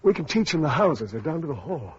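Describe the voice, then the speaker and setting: An actor playing an ailing, earnest man who speaks haltingly in an old-time radio drama. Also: on the high side, big pitch swings, breathy edge, full character voice. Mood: earnest, feverish. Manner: halting, repeats words, earnest. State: ill, weak. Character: ailing, earnest male survivor, feverish idealist about teaching. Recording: muffled lo-fi old radio recording.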